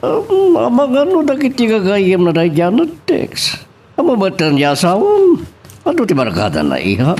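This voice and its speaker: A man's voice: sits high, wildly swooping pitch, normal speed, a little mellow, slightly rough, heavily acted. A man playing an older, indulgent father and businessman in an audio drama, speaking expressively with an Ilocano Filipino accent. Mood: questioning. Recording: faint background noise.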